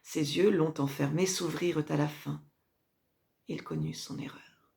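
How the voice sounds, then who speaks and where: A woman playing a proud, scheming Roman empress mother who reproaches her son in a measured, declamatory French classical verse tragedy monologue, moving between hushed drops and emphatic swells. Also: low-pitched, fairly even intonation, vocal fry, exaggerated voice. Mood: cold, controlled pride.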